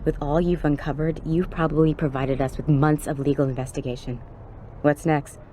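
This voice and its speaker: A woman's voice: low voice, fairly even intonation, moderate pace, dark tone, a little hiss or hum, close to an everyday voice. An actress playing a tough, brash female police officer in a video game, slangy and wry. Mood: wry, impressed.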